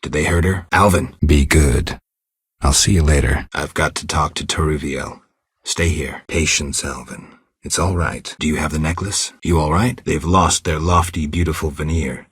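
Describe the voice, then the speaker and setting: A stoic, world-weary monster hunter from a fantasy video game. His voice is exceptionally deep and heavily husky, recorded close and dry. He speaks in terse, restrained phrases, blunt but quietly protective. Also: moderate pace, no echo, exaggerated voice. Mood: calm, gentle, then calling out, then somber, reflective. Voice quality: gravelly, husky low voice. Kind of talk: video game character dialogue.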